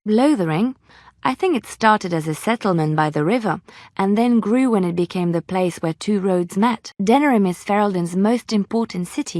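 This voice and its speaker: Devout young female storyteller, played by a woman for a fantasy game: gentle, earnest, measured, on the lower side, very dry sound. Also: no echo, lightly acted. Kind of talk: video game voice acting, storytelling.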